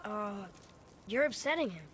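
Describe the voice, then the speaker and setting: Earnest, high-voiced curious boy. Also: lively intonation, measured pace. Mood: uneasy. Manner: plainspoken, inquisitive. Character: earnest, curious, kind-hearted young boy. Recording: ambient engine-like noise.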